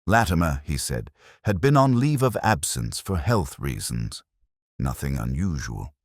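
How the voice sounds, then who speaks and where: Very deep, brooding detective. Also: male voice, sing-song, dry recording, clean studio sound, exaggerated voice. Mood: brooding, reflective. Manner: measured, solemn, literary phrasing. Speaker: narrator. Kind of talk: scripted first-person detective narration.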